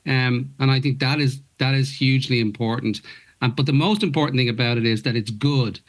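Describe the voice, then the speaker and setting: A man's voice: mid-range voice, brisk. An Irish man whose first language is Irish, an actor guest in a radio interview, talking earnestly with many stops and restarts. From Ireland.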